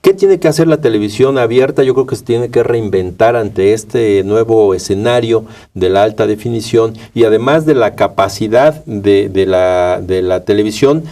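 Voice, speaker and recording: A Mexican man answers as a studio interview guest in a fairly low, dark, soft-edged voice. He talks haltingly, doubling words and checking in with short tags. The microphone catches him from slightly far off. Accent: Mexican Spanish. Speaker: interview guest, media rights advocate.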